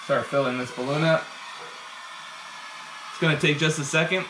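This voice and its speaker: A man's voice: on the high side, fairly even intonation, normal speed, roomy sound, background noise. A man teaching science through a live demonstration, upbeat and explaining each step for kids indoors. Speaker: science educator. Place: indoor room.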